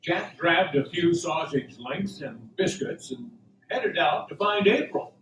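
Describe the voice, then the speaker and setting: A man's voice: on the high side, expressive, slow, a bit bright. A man narrating a radio drama in a scripted storytelling style. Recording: roomy, distant, faint noise.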